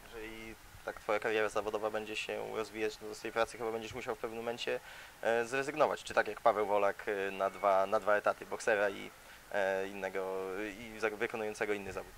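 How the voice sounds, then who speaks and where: Gravelly-voiced male sports interviewer. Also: lowish voice, flat delivery, fast talker, a bit bright, a little hiss or hum. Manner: long leading questions with frequent fillers.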